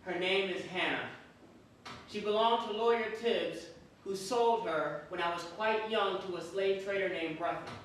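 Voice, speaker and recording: A male actor plays a formerly enslaved man telling of the mother taken from him. His voice is high for a man, in a dramatic reading heard from a distance in a very echoey hall with faint noise. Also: measured pace, fairly bright tone. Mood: sorrowful, measured. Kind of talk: dramatic reading of a historical newspaper ad. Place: theatre or hall, recorded from a distance.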